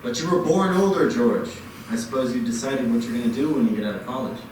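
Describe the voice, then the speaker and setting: An actor playing a calm, kindly middle-aged father who runs a small loan office. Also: medium pitch, fast, lightly acted. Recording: echoey room, far from mic, noisy.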